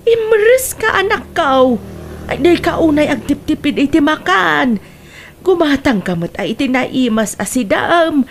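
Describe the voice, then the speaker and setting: A woman acts a concerned, fussing mother in a comedic radio drama. Her voice is high and lively, swinging up and down over a faintly noisy track. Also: unhurried. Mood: concerned, chiding.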